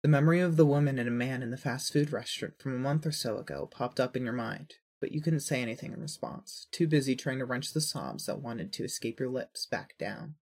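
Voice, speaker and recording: A narrator reading scripted second-person fiction in an earnest, emotive storytelling manner, with a husky voice. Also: mid-range voice, brisk, hardly any pauses, a little mellow.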